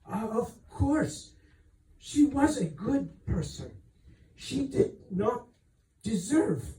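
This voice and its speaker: An earnest young servant woman, acted by a man reading a novel, speaks haltingly and with deference. The voice is raised and very soft in tone, with slow delivery, heard in a roomy space. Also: extremely high pitch, very animated, voice pushed up, exaggerated voice. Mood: tearful, halting. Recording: roomy echo, distant microphone.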